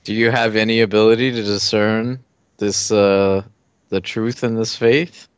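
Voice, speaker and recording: A man playing a tabletop roleplaying game, amused and quick to laugh. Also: on the low side, flat delivery, clean tone, no room sound. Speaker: player. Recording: dry lo-fi recording.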